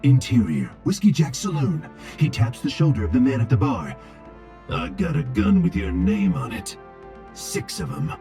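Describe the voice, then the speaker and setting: An actor playing a vain, grumbling robot film director from a game, with acid-tongued, theatrical Hollywood patter. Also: male voice, medium pitch, big pitch swings, moderate pace, dark tone, faint music behind. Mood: wry, dramatic.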